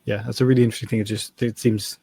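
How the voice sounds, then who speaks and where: A man co-hosting a podcast in casual discussion, tentative and rambling, softening his points with small asides. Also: fairly low pitch, flat delivery, rapid-fire, creaky, slightly rough, no echo. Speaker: podcast co-host, novelist.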